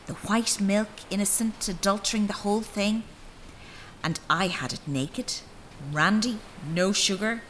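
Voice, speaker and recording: A woman's voice: mid-range voice, a bit bright, slight background noise, rough recording. A young woman playing an intense, reproachful, wounded daughter in a dramatic audio play. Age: young adult.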